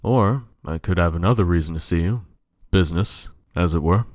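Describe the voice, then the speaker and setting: A man playing a brooding, protective playboy detective in an audio drama, speaking in a grave, measured way. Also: low voice, darkish tone, no echo, studio quality, lightly acted. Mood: suave.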